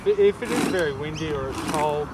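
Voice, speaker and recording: Guy with a fairly high voice explaining things outside, really noisy behind him. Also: brisk. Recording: loud outdoor background noise.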